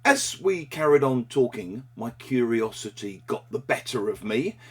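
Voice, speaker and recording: A man presenting a short English lesson, explaining a phrase in a measured, clear teaching manner. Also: medium pitch, lively intonation.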